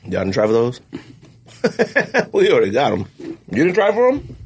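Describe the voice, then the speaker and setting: A man's voice: mid-range voice, huge pitch swings, normal speed, a little hiss or hum. A man co-hosting a sneaker podcast in casual, slangy banter, arguing his points. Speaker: podcast co-host. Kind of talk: casual chat.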